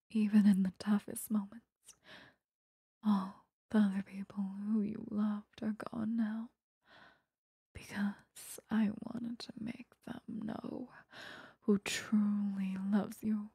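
Woman playing a slow, whispering obsessive vampire lover. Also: medium pitch, warm tone, no room sound, studio quality, heavily acted. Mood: tender, obsessive. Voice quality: breathy whisper. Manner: slow, coaxing, intimate. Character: obsessive, possessive female vampire, seductive and menacing lover. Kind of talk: ASMR roleplay, whispered. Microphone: close microphone.

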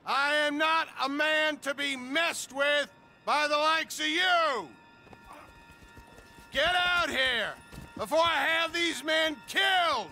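Imperious western game tycoon, haughty, bellowing, very high pitch, music behind. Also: male voice, takes it slowly, pushed and bright, full character voice. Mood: enraged. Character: enraged, imperious rich male tycoon in a western. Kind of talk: dramatic video game acting.

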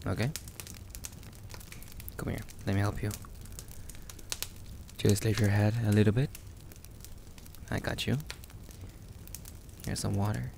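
A man acts out a caring, protective boyfriend in an intimate audio roleplay. His voice is low and gravelly, with a slow, halting way of speaking to his partner. There is clear ambient noise behind him. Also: heavily acted. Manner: soothing, reassuring, halting, addressing the listener as his partner. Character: sweet, protective young boyfriend, anxious and tender.

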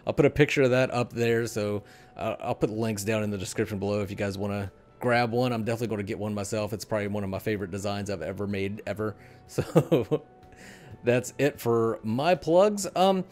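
A man's voice: lowish voice, brisk, a little mellow, soft background music. A middle-aged man hosting a casual podcast, chatting and bantering in a teasing, joking way. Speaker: podcast host. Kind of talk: casual chat, plugs and banter. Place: small room at home, indoors. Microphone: desk mic on boom arm, headset.

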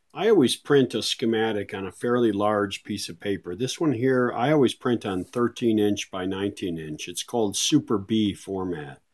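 A man's voice: on the low side, darkish tone, breathy edge. A man talking casually through a hands-on repair as the host, in an easygoing, folksy way, thinking aloud as he works. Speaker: host of a radio repair channel. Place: indoor workshop at a bench.

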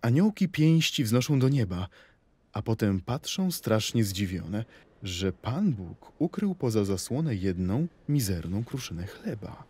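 A man's voice: lowish voice, very animated, unhurried, darkish tone, a little hiss or hum. A man reciting poetry in a dramatic, scripted style for an audio play.

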